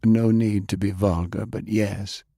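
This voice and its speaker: Audiobook role: principled older gentleman acted by a male narrator, low, husky, measured and reproving. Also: fairly even intonation, dry recording, clean studio sound. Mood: disapproving. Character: Browning, principled older gentleman, disapproving and weary. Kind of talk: audiobook character acting.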